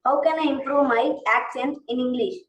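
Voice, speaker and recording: A young female student asks her questions in a formal, polite way. Her voice sits high. She sounds roomy and distant, picked up from far off the mic in a classroom. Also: fast. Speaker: school student. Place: school classroom.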